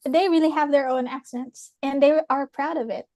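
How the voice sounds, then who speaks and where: A young woman from the Philippines with a Philippine English accent, hosting a webinar and reflecting thoughtfully with frequent pauses and restarts. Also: sits high, fairly even intonation, darkish tone, no echo, studio quality. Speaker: webinar host. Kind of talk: reflective chat with a guest.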